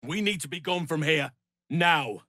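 A bright, high male voice for an urgent spellcaster in a fantasy video game. He calls out commands and incantations theatrically and forcefully. The recording is very dry. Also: measured pace, no echo. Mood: urgent, alarmed. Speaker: video game character voice actor.

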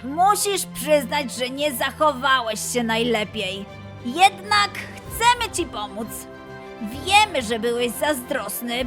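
A woman voicing a kind, earnest, excitable young lion boy in a children's audio fairy tale. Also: high voice. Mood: kind, encouraging. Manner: explaining patiently, exclaiming eagerly.

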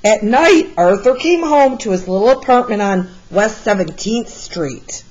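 A woman reads a children's picture book aloud at a slow pace. Her delivery is expressive and deliberate, like a storyteller guiding young listeners through the pages. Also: medium pitch, dull audio. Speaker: read-aloud storyteller, art teacher.

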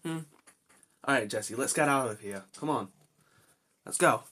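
A man's voice: mid-range voice, expressive, measured pace, bright, forceful tone, husky, heavily acted. A man voicing an anxious, protective young adventurer in amateur character lines, talking to himself with dramatic exclamations. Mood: uneasy, determined. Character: anxious, reluctant young adult male adventurer hero, protective.

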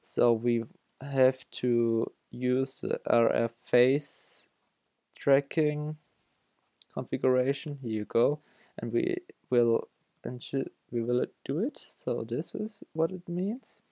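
A man's voice: mid-range voice, dark tone, dry recording. A young man from Germany with a German accent, talking through a coding tutorial over his screen, often stopping to restart his sentences. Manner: halting, many restarts and self-corrections. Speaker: developer tutorial host.

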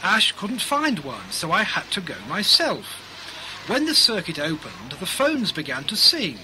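Man as high-voiced, eager 1920s radio engineer. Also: huge pitch swings, measured pace, sharp tone, a little hiss or hum, full character voice, poor audio. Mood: playfully declamatory. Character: eager 1920s radio engineer reciting comic technical rhymes. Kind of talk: radio play acting.

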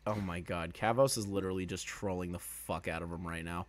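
A man's voice: sits low, steady tone, brisk. A young man hosting a casual panel stream from a small room at home, talking into a headset with plenty of swearing and laughter. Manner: profane, laughing, exasperated. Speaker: stream host. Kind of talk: casual panel chat on a video call.

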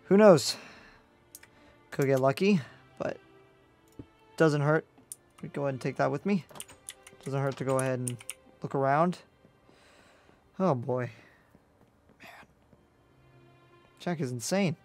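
A man's voice: mid-range voice, takes it slowly, long pauses, darkish tone, faint music behind. A man improvising roleplay as he mutters to himself, with sighs and exclamations. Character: weary young male demon slayer, muttering to himself. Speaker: roleplay video creator.

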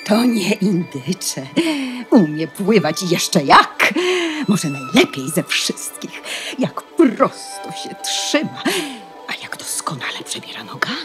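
A woman playing a proud, protective mother duck in a fairy-tale radio play, bossy and caring as she instructs, with a husky, breathy voice. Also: fairly low pitch, big pitch swings, over music. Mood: proud, fond. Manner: instructing, measured, emphatic.

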